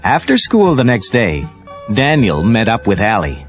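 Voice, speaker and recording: A male narrator tells a children's read-along story in an animated, mid-pitched voice. The scripted reading sits over soft music on a slightly dull cassette recording. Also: unhurried.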